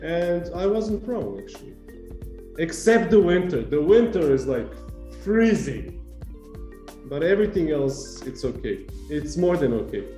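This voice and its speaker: A man's voice: fairly high pitch, sing-song, a little slow, dark tone, faint music behind. A man, a university lecturer chatting easily about his life and path in an interview. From Serbia. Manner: chatty, easygoing, self-correcting storyteller. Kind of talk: casual interview answers. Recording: echoey room.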